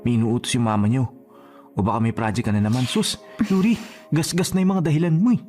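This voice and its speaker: A man's voice: medium pitch, fast, warm tone, faint music behind, close to an everyday voice. An actor playing an insistent, resentful young male suitor in a Tagalog radio drama, emphatic and sarcastic. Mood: hurt, sarcastic.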